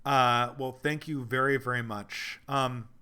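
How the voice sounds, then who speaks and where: A man whose voice is going hoarse talks through a livestream in an ordinary middle register. He is earnest and meandering, and he works his thoughts out aloud as he answers viewers. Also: medium pitch, steady tone, a little slow, bright, forceful tone. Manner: rambling, earnest, thinks aloud. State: losing his voice. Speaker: livestream host reading donations. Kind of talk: casual livestream Q&A.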